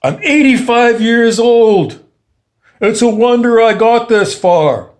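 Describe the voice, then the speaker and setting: A man's voice: very high voice, expressive, takes it slowly, voice pushed up, exaggerated voice. A man acting out a world-weary eighty-five-year-old who is blunt and resigned, in an informal monologue.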